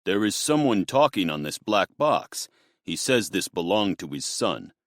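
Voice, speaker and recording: A man voicing a rough male henchman on the phone, gruff and chattering. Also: deep voice, very animated, a little slow, no echo, clean studio sound, full character voice.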